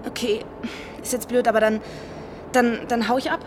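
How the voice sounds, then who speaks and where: A woman's voice: on the high side, level tone, normal speed, natural voice. An actress playing a hesitant, slangy young backpacker woman in a naturalistic radio drama. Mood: flustered. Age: young adult. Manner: casual, hesitant. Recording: loud train station noise behind.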